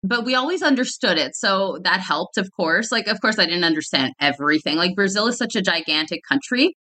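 Chatty woman, bright tone. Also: mid-range voice, lively intonation, a little fast. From Canada. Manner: chatty, many "like" fillers, laughs often. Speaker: guest, fitness founder. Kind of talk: casual podcast conversation.

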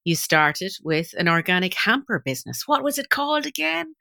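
A woman's voice: mid-range voice, big pitch swings, moderate pace, creaky, sharp tone. A woman hosting a marketing podcast, introducing the show and chatting in a conversational interview style.

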